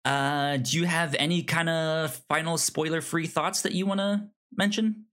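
A podcast co-host in a casual film discussion, talking informally and haltingly with repeated stammered starts. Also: medium pitch, steady tone, fairly bright tone.

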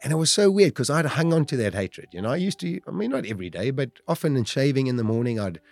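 A South African man in his fifties telling his own survival story in a loud, animated way. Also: quick pace, creaky, no room sound. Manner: loud, animated storytelling with big pitch jumps. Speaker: guest storyteller.

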